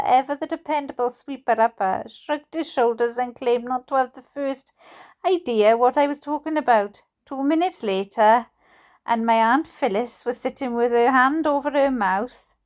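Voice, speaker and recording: Female narrator, Welsh accent, higher register, animated storybook reading with an amused edge. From Wales. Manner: animated, amused, prone to giggling. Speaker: reader of a bedtime book. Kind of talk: storybook narration read aloud.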